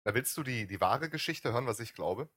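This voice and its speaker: A man's voice: mid-range voice, expressive, a little fast, a bit bright, dry recording, studio quality. A man co-hosting a casual streamed podcast, teasing and needling his co-host.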